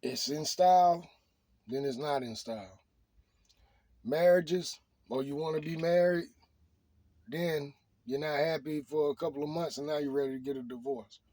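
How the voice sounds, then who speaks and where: A man's voice: a little high, moderate pace, pauses often, darkish tone, no room sound. A middle-aged man talking off the cuff in an opinion monologue, preachy and emphatic, often repeating his phrases.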